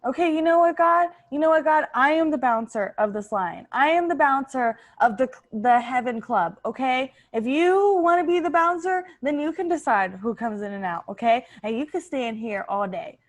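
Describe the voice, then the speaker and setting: A woman playing a weary, exasperated bouncer at heaven's gate in improvised comedy, curt and deadpan. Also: high voice, moderate pace, hardly any pauses, natural voice. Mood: fed up.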